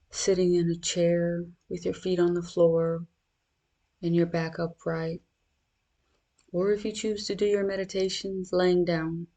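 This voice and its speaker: Meditation guide, slow pace, creaky fry, reassuring, instructional, guided narration. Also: medium pitch, warm tone.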